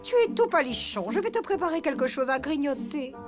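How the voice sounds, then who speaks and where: A fussy, doting cartoon mother. She is high-pitched and very animated, with an affected way of talking and music behind. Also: a little fast. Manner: fussing, affected. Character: fussy doting mother, admirer of fine cuisine. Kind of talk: children's cartoon dubbing. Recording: music under the voice.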